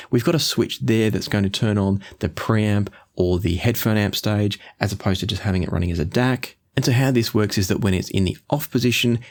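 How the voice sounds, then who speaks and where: A man hosting an audio gear review, explaining things in a measured, orderly way. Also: lowish voice. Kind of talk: product review to camera.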